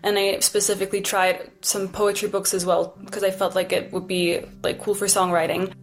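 A woman's voice: mid-range voice, flat delivery, normal speed. Young swiss woman w/ swiss german accent, chatty songwriter, faint music under her. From Switzerland. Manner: chatty, with frequent "like" fillers. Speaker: singer-songwriter. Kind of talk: casual conversation.

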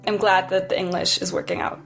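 A woman's voice: fairly low pitch, very animated, fairly quick, vocal fry, a bit bright, soft background music. A young woman from Switzerland with a Swiss German accent, a singer-songwriter chatting casually and often saying "like". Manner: chatty, with frequent "like" fillers. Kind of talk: casual conversation.

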